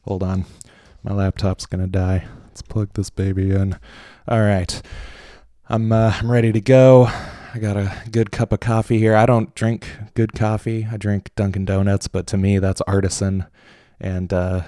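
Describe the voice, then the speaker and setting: A man's voice: fairly low pitch, flat delivery, unhurried, dark tone, dry recording, studio quality. A man in his late twenties hosting a casual solo podcast, rambling with lots of fillers and restarts, jittery from coffee. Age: late 20s. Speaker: podcast host.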